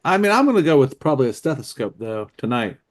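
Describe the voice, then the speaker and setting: A man's voice: mid-range voice, very animated, fast talker. A man co-hosting a casual sports podcast, chiming in with short jokes. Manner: short joking interjections. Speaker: podcast co-host.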